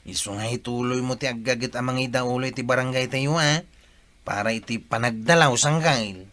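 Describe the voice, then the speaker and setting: A man's voice: mid-range voice, moderate pace, fairly bright tone, lightly acted. A man playing a supportive, respectful village sidekick, earnest in delivery, in a comic radio drama. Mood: encouraging.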